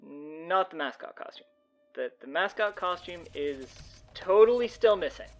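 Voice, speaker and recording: A woman playing an excitable, dim-witted teenage boy at school, eager and prone to stammering. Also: lowish voice, a little slow, soft background music. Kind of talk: comedic audio drama acting.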